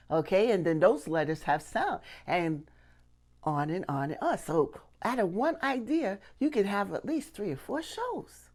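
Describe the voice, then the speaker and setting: A middle-aged woman giving a casual lesson to camera, conversational and often checking in with little questions. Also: fairly low pitch. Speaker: puppetry instructor and channel host. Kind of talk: casual instructional talk to camera. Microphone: clip-on lapel mic.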